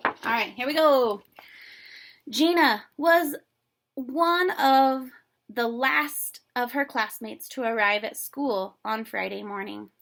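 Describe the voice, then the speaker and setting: Female storybook reader for kids, expressive and chatty, slower pace with some creak in the voice. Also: a little high. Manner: chatty, playful, expressive, exclaims and comments to young listeners. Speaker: teacher reading a children's book aloud. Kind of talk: animated story read-aloud with casual asides.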